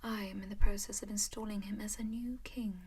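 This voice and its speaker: A centuries-old vampire lady speaks in a breathy whisper, soft and doting, never rushing. She is genteel and possessive, calmly scheming as she fusses over her charge, as a woman acts her out in an ASMR roleplay. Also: medium pitch. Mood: matter-of-fact.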